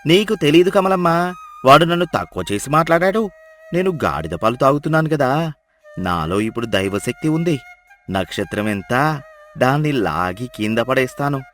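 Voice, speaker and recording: A man's voice: mid-range voice, animated, normal speed, fairly bright tone, lightly acted. A man playing an arrogant, gullible village headman, pompous and commanding as he boasts in a dramatised moral story. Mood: stubborn. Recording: music behind the voice.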